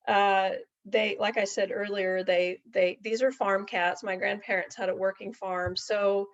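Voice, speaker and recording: A middle-aged woman telling a casual story in a folksy, chatty way, full of asides and check-in questions. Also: medium pitch. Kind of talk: casual anecdotal storytelling to an audience. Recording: dull lo-fi recording.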